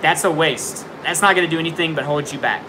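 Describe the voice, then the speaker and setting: A man's voice: fairly high pitch, fast, noisy background. A young man hosting a casual livestream from home, giving frank tough-love advice with lots of fillers, and jumpy at the storm outside. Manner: jumpy, startled by thunder. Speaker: livestream host answering viewer questions. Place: indoors at home near a window during a storm.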